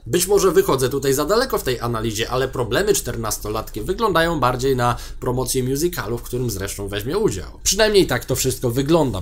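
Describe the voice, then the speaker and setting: Young man hosting sarcastic online news. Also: mid-range voice. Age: young adult. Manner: sarcastic, animated hand gestures. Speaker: news show host. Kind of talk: opinionated news commentary to camera. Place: small indoor studio with plain wall.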